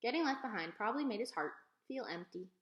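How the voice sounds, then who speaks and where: A woman reading a children's book aloud as an expressive storyteller. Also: fairly high pitch, quick pace, muffled recording. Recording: echoey room.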